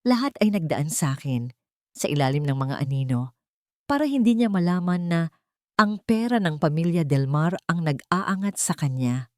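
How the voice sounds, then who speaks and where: A woman's voice: deep voice, animated, no room sound, studio quality. Woman voicing a deferential fiftyish male lawyer, Filipino accent. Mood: careful, deferential. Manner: deferential, measured. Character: respectful, careful male lawyer in his fifties.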